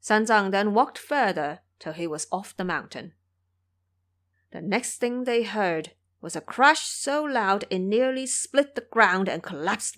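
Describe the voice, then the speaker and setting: A woman storyteller giving expressive, explanatory scripted narration of an audio drama. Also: mid-range voice.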